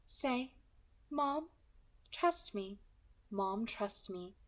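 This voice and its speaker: A woman voicing a polite, menacing female kidnapper with a soft, pleasant alto voice, light and friendly in tone. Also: mid-range voice, expressive, drawn-out words. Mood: coolly menacing. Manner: light, friendly, quietly threatening.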